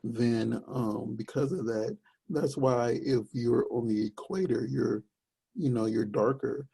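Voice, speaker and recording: A young man with a deep, gravelly voice talks casually on a podcast. His tone is husky and mellow, and he wanders through his points with many fillers. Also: slow pace. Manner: rambling, searching for words with many fillers. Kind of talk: casual chat.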